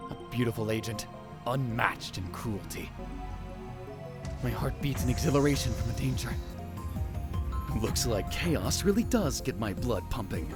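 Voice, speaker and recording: A man's voice: medium pitch, animated, unhurried, pauses often, music in the background, natural voice. A man playing a paranoid, grandiose young mad scientist in a visual novel fan dub, with a pompous, melodramatic delivery. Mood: smug, brooding. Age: young adult. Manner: pompous, melodramatic, swinging from hushed muttering to outbursts.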